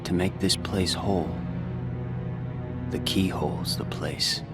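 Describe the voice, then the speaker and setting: An actor playing a mysterious, solemn man who speaks in ominous riddles in an animated game. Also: sits low, slow pace, over music. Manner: cryptic, solemn. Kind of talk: animated game cutscene acting.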